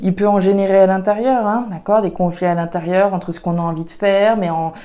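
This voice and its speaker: A woman's voice: medium pitch, steady tone, a little fast, warm tone. A woman with a regional French accent doing a casual card reading for viewers, thinking aloud with frequent fillers and restarts. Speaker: tarot and oracle card reader.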